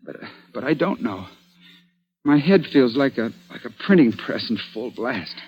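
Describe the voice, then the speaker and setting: Bewildered young amateur sleuth, swooping animated delivery, vintage radio mystery, dull lo-fi sound. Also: male voice, mid-range voice, moderate pace, breathy edge. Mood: groggy, pleading. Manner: dramatic, exclamatory. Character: agitated, stubborn young man-about-town, bewildered amateur sleuth. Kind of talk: old-time radio mystery acting and narration. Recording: dull, band-limited vintage radio recording.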